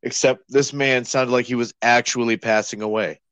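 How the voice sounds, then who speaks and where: A man's voice: mid-range voice, steady tone, moderate pace, a bit bright, clean tone, no room sound. A young man co-hosting a podcast in casual chat, teasing his partner playfully. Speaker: podcast co-host.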